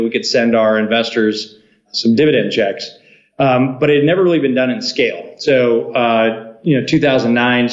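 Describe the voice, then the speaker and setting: A man, a company co-founder, telling his story as a guest in a casual interview with many fillers. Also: mid-range voice. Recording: echoey, dull remote line.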